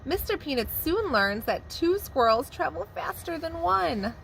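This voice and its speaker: A preschool teacher reads a picture book to young children with warm, expressive storytelling. Her voice sits fairly high and swoops up and down a great deal. A faint hiss runs underneath. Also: a little slow, gravelly, rough recording.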